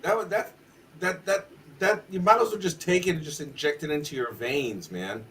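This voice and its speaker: A man's voice: on the high side, normal speed, fairly bright tone, distant mic, slight background noise. A man bantering on a live art stream in a goofy, joking way.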